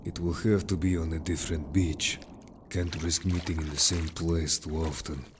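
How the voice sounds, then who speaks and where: A man's voice: rumbling low, fairly even intonation, normal speed, noisy background. A man playing a stern, wary merman in an audio story, curt and grave, distrustful of humans. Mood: guarded, businesslike. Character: stern, wary, disapproving merman information gatherer. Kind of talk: audio story character acting.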